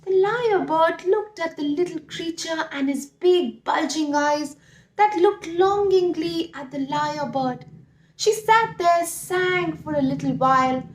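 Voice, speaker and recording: A woman telling a story to young children, expressive and speaking directly to her listeners. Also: very high-pitched. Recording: roomy echo.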